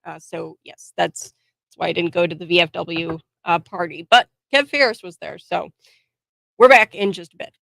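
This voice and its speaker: A woman's voice: lowish voice, moderate pace, gravelly, a bit bright, no room sound. A woman hosting a morning radio show in a casual, rambling way while sick, her voice scratchy. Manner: chatty, rambling with fillers and small laughs.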